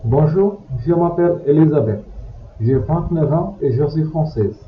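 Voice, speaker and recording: Slow, clearly spoken man in an echoey room. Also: a little high, slight background noise. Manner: reads each passage, then repeats it word by word. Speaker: language teacher. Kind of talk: slow read-aloud lesson for learners. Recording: echoey, dull lo-fi recording.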